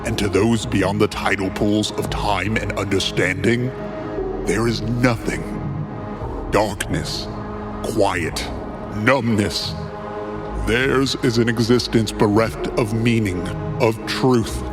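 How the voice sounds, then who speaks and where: A man playing a solemn, grandiose cult prophet in an audio drama, speaking in an ominous, measured manner. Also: on the low side, fairly even intonation, over music, voice pushed down. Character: solemn male cult prophet preaching destiny and truth.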